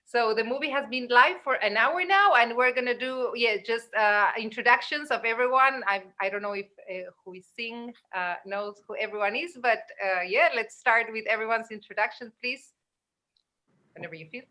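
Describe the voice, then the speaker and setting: A woman hosts a casual live video-call chat. Her voice is a little high and very bright. She giggles often and fills her sentences with "you know" and "like". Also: moderate pace. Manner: giggly, many fillers. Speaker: host.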